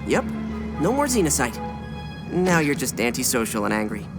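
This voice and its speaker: A man's voice: medium pitch, very animated, normal speed, over music. An actor playing a determined, wisecracking teenage boy hero, earnest and quippy in a video game cutscene. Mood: wry, firm.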